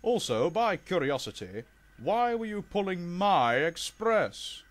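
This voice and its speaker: A man's voice: on the high side, big pitch swings, moderate pace. A man voicing a vain, grumbling big steam engine in a children's storybook, blunt and indignant. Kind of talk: children's railway storybook character voice.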